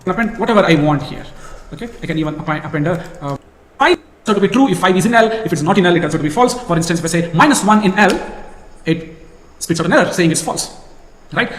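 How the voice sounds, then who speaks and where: A man giving a recorded course lecture, lively and full of rhetorical questions. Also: high-pitched, a little hiss or hum. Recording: echoey lecture hall with long reverb.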